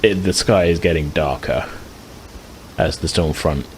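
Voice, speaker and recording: A man's voice: rumbling low, unhurried, slight background noise. A man acting as game master, describing scenes in a chatty, hesitant way. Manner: chatty, hesitant, many restarts.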